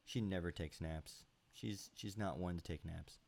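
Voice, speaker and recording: Deep gravelly congested man. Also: a little slow, a little mellow. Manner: rambling, many fillers and chuckles. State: sounds congested. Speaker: live stream host. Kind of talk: casual live-stream chat. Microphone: home computer mic with high gain.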